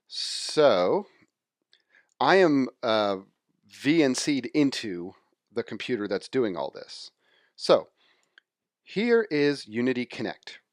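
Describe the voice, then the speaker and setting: A man's voice: medium pitch, no room sound, studio quality. A man giving an informal screen-share tutorial, explaining technical steps one by one in a conversational way. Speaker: software demonstrator.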